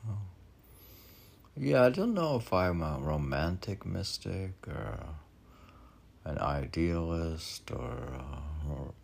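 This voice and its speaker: A man's voice: deep voice, very animated, takes it slowly, gravelly, pauses often, darkish tone, breathy edge. A man reading his own poetry, drifting into musing, meandering asides as if talking to himself. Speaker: poet reading own work.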